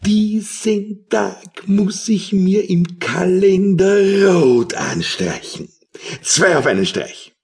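An actor playing a smug, self-important Austrian police superior in a theatrical comic radio play. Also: male voice, sits high, expressive, slow pace, darkish tone, exaggerated voice. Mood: gloating.

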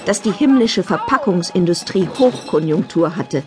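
A woman narrating a children's Christmas tale in a measured, wryly humorous storybook style. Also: on the low side, expressive, natural voice. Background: music.

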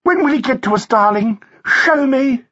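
A man narrating a story and voicing a sprightly, flamboyant society wife, shrill, affected and gushing. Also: animated, moderate pace, a bit bright, muffled recording, heavily acted.

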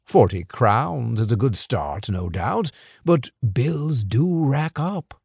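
A man doing a slow, scheming crime boss. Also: medium pitch, animated, gravelly, a little mellow, husky, no room sound, clean studio sound, voice pushed up, exaggerated voice. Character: smooth, scheming older male crime boss.

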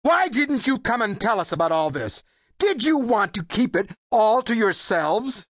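An actor playing an anxious, hot-headed Greek ship's captain in an audiobook, agitated and questioning. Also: male voice, sits high, big pitch swings, unhurried, a bit bright, no echo, studio quality, exaggerated voice. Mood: angry, indignant. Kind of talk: full-cast audiobook acting.

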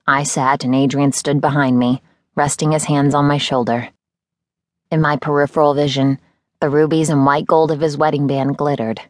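A woman narrates a novel in the first person. Her voice sits low for a woman, and the sound is dry, with next to no room around it. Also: no room sound. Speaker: audiobook narrator.

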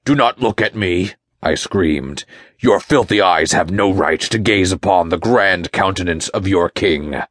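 A man's voice: low voice, measured pace, fairly bright tone, no room sound, studio quality. A man voicing a pompous, menacing Satan, wrathful king of demons, in a dramatic first-person audiobook narration. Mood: angry, scornful.

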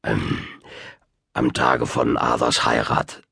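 A man voicing a muddled, well-meaning old wizard in an audiobook, halting and full of ums and chuckles. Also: rumbling low, animated, gravelly, bright, forceful tone, breathy edge, dry recording, studio quality, pitched below the performer's own voice, exaggerated voice. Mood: flustered, apologetic. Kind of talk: audiobook character voice.

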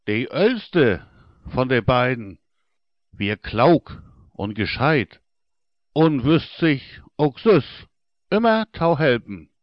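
Very slow-talking man with Low German accent. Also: no room sound, highs cut off. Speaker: audiobook narrator. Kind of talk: scripted fairy tale narration for children.

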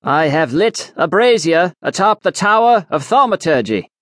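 A solemn, self-important alchemist sage, as a male narrator puts him on, pitched a little above the reader's own voice. He proclaims everything like a formal decree. The sound is dry and close, with no room around it. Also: very animated, moderate pace, no echo. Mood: proclaiming. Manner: stately, ceremonial proclamation. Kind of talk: audiobook character voice.

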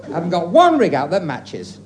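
A man's voice: high voice, wildly swooping pitch, brisk, voice pushed down. A man voicing a nagging, aggrieved wife who scolds and complains in a 1950s radio comedy monologue. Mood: nagging, exasperated. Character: nagging, aggrieved wife grumbling about shabby clothes. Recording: noisy vintage radio recording, audience. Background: studio audience laughter.

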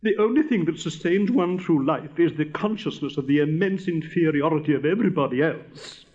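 A man's voice: fairly high pitch, brisk, darkish tone, highs cut off, natural voice. A man voicing a vain, pompous, easily offended firework rocket in a storybook reading. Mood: indignant, lofty. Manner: pompous, severe, indignant.

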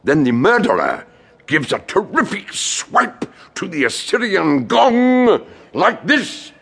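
Slow, theatrical party host. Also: male voice, sits high, wildly swooping pitch, creaky, a bit bright, slight background noise, full character voice. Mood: theatrical, relishing. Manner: deliberate, theatrical. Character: genteel house-party host explaining a murder game, theatrical. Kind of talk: radio drama acting.

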